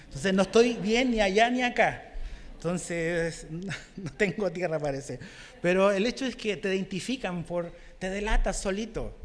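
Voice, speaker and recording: A man's voice: high voice, long reverb tail. A young man from Chile with a Chilean accent touched by Mexican Spanish, preaching a conversational sermon as pastor and often asking the congregation questions. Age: young adult. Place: church hall.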